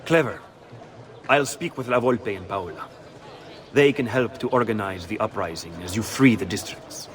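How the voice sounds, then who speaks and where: An actor playing a shrewd, grave conspirator with an Italian accent, speaking deliberately in a video game. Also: male voice, lowish voice, natural voice. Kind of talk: video game cutscene acting. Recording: outdoor ambience noise behind.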